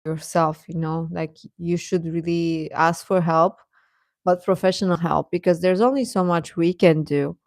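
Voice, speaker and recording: A woman's voice: fairly low pitch, fairly even intonation, a little slow, darkish tone, dry recording. A woman hosting a livestream, chatting casually and advising viewers in a conversational way, often hesitating and correcting herself. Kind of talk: casual chat, giving advice to viewers.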